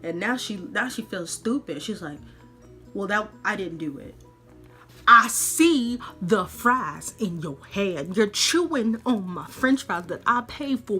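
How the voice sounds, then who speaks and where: A woman podcast host telling a personal story in an animated, colloquial way, dramatically acting out the dialogue. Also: mid-range voice, slightly rough.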